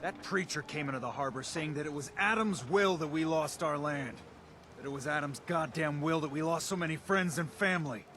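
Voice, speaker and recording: A man playing a bitter, hot-headed gun seller in a video game, with a combative, gruff and blunt manner. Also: on the high side, brisk, sharp tone, slight background noise. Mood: outraged. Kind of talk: video game dialogue acting.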